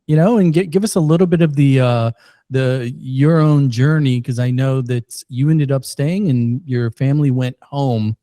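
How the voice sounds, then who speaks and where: A man's voice: medium pitch, warm tone, no room sound, studio quality. A man hosting a podcast, asking rambling questions with plenty of jokes and restarts. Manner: joking, rambling, halting questions. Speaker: podcast host. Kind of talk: podcast interview. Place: Missouri.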